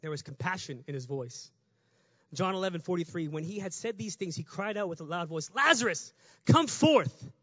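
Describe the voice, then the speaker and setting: A man preaching a lively, conversational sermon, talking fast and rising to shouts at the climax. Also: high-pitched, dry recording, dull audio.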